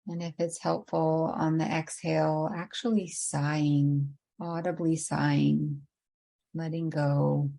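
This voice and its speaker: Low, mellow woman guiding meditation. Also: level tone, gravelly. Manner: slow, unhurried, gently inviting delivery with long pauses. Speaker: meditation guide. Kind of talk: guided meditation narration.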